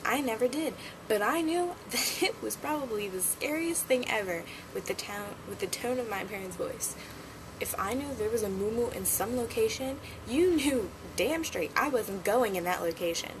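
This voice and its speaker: A young woman chatting casually in a home vlog, playful and giggly. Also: on the high side. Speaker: vlogger. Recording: noticeable background noise.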